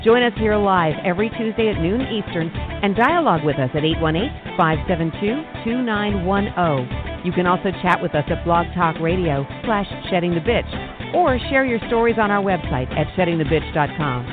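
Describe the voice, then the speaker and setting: A woman reading a scripted radio show introduction in a smooth promotional delivery. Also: on the low side, expressive. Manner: smooth promotional delivery, slows for phone number. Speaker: show announcer. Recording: tinny phone line with music bed.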